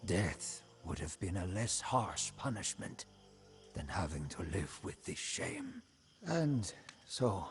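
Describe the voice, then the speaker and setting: An ambitious old court schemer narrates a game storybook in a solemn, theatrical style. His delivery is slow and deliberate with wide swings of pitch and hushed line endings, over prominent music. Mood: bitter, remorseful. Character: ambitious, scheming old Majapahit prime minister recounting his life. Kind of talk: dramatic storybook campaign narration.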